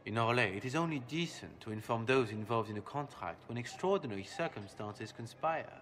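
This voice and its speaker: An actor playing a polite, cultured assassin in a game cutscene, speaking in formal, measured phrases. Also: male voice, mid-range voice, lively intonation, fairly bright tone, lightly acted. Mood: polite, composed. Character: courteous, cultured male assassin messenger from a guild. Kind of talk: scripted video game cutscene acting.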